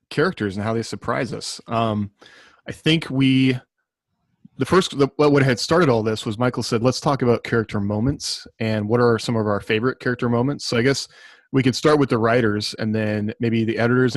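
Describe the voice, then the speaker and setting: A man's voice: mid-range voice, dry recording. A man in casual podcast chat, hosting with hesitant, tentative phrasing. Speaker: podcast host and writer.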